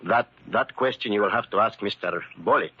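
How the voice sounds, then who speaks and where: An actor playing an excitable, hard-working immigrant shoemaker in a 1940s radio drama. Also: male voice, on the low side, fairly quick. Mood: proud, insistent. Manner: agitated, theatrical, emphatic. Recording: muffled, noisy 1940s radio recording.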